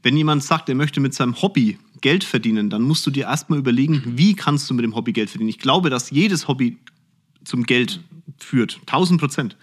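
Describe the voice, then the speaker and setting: A man's voice: mid-range voice, lively intonation, a little fast, slightly rough. Podcast host, man, mid-range voice, blunt and plain-spoken. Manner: blunt, direct, colloquial. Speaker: podcast host being interviewed, finance executive. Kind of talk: casual podcast interview.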